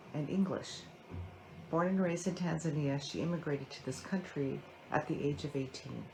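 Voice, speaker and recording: A woman's voice: deep voice, darkish tone, slight background noise, rough recording. A woman who co-founded a scholarship, presenting the award with enthusiastic praise.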